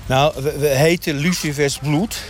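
A man acts out an earnest dentist from a satanic sect for a radio comedy interview. His voice sits a little high and he explains things haltingly, as plain matters of fact. Faint street noise runs behind him. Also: steady tone, close to an everyday voice. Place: outdoors in a street. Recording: street noise, passing traffic.

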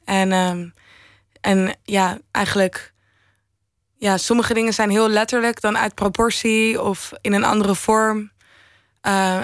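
A young woman answering interview questions off the cuff, haltingly, thinking aloud as she goes. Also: medium pitch, no room sound, studio quality. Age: young adult. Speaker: interview guest, fashion designer.

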